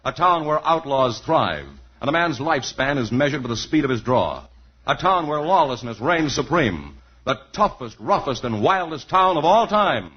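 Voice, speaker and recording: A man's voice: mid-range voice, animated, moderate pace. A man announcing a radio sketch with grandiose, mock-serious scripted narration. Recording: dull old radio recording, loud music.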